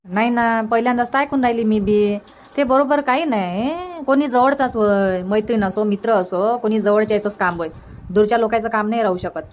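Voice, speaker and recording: A woman's voice: fairly high pitch, brisk, slight background noise, natural voice. A woman voicing a practical village mother in a cartoon, gossipy and advising, repeating herself in a Varhadi Marathi accent. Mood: gossipy, concerned. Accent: Varhadi Marathi from Vidarbha. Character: practical, reassuring village mother of a married daughter. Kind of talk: colloquial cartoon dialogue.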